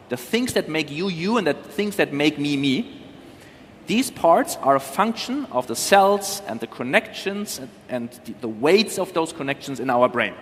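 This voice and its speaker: A man's voice: fairly high pitch, fairly bright tone, slight background noise. A man explaining things as a conference panel speaker, in a careful, qualified manner. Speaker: panel speaker, cryopreservation company representative. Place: large conference hall. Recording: reverberant hall sound.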